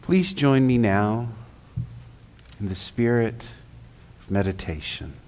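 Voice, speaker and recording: A man leading a guided meditation, speaking slowly, softly and solemnly. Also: on the low side, lively intonation. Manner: slow, solemn, soft. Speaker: meditation leader.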